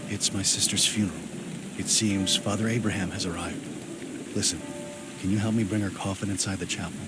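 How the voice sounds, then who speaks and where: Somber male game protagonist, played by an actor, low and subdued, carrying grief, rain noise behind. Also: fairly even intonation, a little fast, lightly acted. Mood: somber, wary. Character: somber, guilt-ridden grieving brother, game protagonist. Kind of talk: video game dialogue.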